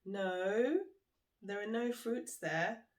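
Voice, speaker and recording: A woman's voice: medium pitch, drawn-out words, darkish tone. Woman voicing a guilty, scheming crocodile. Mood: guilty, confessing. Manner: reluctant, apologetic. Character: guilty, scheming male crocodile with a sick wife.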